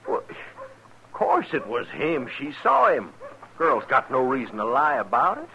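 A man's voice: medium pitch, wildly swooping pitch, a little slow. An actor playing a vengeful, hot-headed frontier man with a menacing manner in an old-time radio western. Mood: indignant. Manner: menacing, sneering, argumentative. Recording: dull, noisy vintage radio recording.

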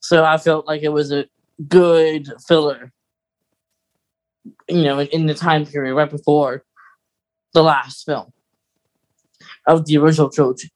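A man co-hosting a podcast in casual chat, measured and thinking aloud as he goes. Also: fairly high pitch, little pitch movement, poor audio. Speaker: podcast co-host.

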